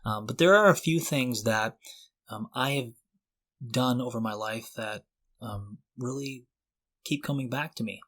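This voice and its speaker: A man's voice: mid-range voice, a little slow, a bit bright. A man in his forties co-hosting a podcast in a casual, conversational way, thinking aloud in loose, hesitant phrases. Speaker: podcast co-host.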